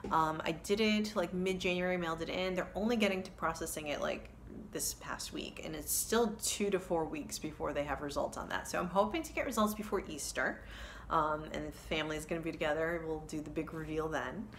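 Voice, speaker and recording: Woman, thirties, low voice, rambling casual vlog, phone mic in living room. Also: brisk, slight background noise. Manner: rambling, chatty, self-correcting with many ums. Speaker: cross-stitch vlogger. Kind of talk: casual chat to camera. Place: living room with kids' toys, indoors. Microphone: phone camera at arm's length.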